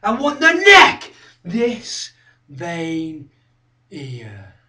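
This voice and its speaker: A man's voice: high voice, animated, slow, exaggerated voice. An actor performing a theatrical monologue as an obsessive, confrontational young male skinhead who relives his violent past. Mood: fierce, insistent. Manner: obsessive, confrontational, repetitive, swinging from hushed to shouting. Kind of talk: theatrical dramatic monologue, direct address. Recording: roomy echo, distant microphone.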